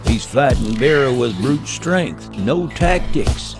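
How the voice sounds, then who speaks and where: Blunt patrol hound, very low female voice, terse, radio drama, loud music behind. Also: expressive, slow pace, full character voice. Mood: tense, puzzled. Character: tough, blunt patrol hound officer, animal soldier. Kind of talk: radio play acting.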